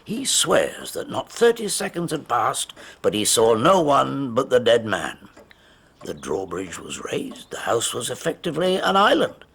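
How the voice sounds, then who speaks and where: An actor playing a proud, eager and slightly prickly country police detective in a radio drama, with emphatic delivery. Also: male voice, medium pitch, wildly swooping pitch, a little slow, vocal fry, a bit bright, breathy edge, full character voice. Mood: eager, explaining.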